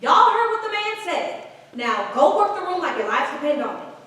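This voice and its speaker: An actress plays a wry, supportive, sassy woman in a staged reading. Her voice is high and lively, heard from a distance with heavy room echo. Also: moderate pace, heavily acted. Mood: commanding. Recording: very echoey room, distant mic.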